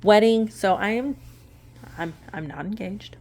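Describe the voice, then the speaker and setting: A woman's voice: medium pitch, measured pace, darkish tone, slight background noise. A woman in her late twenties chatting casually while sorting cash into budget envelopes, rambling and correcting herself with small laughs. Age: late 20s. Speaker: budgeting vlogger.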